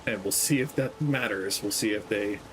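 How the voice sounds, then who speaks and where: A young man streaming a game, talking in a gentle, soft-spoken, rambling way with lots of ums. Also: fairly low pitch, normal speed, background noise. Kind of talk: casual gameplay stream chat. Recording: game music playing behind. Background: game music.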